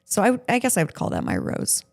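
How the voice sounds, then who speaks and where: A woman's voice: medium pitch, huge pitch swings, a little fast, creaky. A woman co-hosting a podcast in casual conversation, with a blunt, self-deprecating way of talking. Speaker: podcast co-host interviewing her partner.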